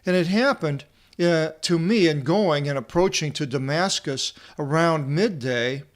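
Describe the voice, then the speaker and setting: A man giving an informal verse-by-verse Bible lecture as a teacher, reading aloud and explaining word by word in short, halting phrases. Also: on the high side. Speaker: Bible teacher and translator presenting a video seminar.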